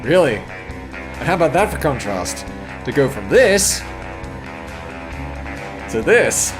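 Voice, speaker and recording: A man's voice: mid-range voice, very animated, slow, over loud music. A man narrating a scripted video essay, with theatrical, sardonic emphasis.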